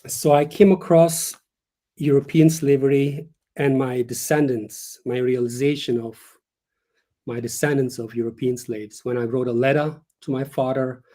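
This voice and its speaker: A young man speaks over a video call as a guest author. His tone is mellow and dark. He talks in earnest and lays out each point with short pauses. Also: mid-range voice, steady tone. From Turkey. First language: Turkish. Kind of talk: casual interview over a live stream.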